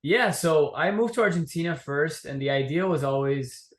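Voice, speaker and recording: A man's voice: on the high side, normal speed. Easygoing young man in a roomy space. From Miami, USA. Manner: relaxed, easygoing storytelling. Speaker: entrepreneur guest. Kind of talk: casual interview.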